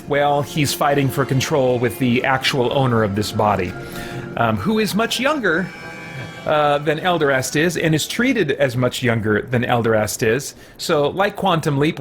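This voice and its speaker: A man's voice: medium pitch, a bit bright. A man livestreaming a game, explaining things in casual, rambling commentary. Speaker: livestream gamer. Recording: game music faintly behind.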